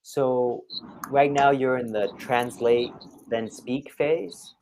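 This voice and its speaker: A man's voice: medium pitch, steady tone, slow, darkish tone, slight background noise, low-quality recording. A man giving conversational one-to-one tutoring advice as an English tutor, explaining things step by step in an encouraging way. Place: online video call.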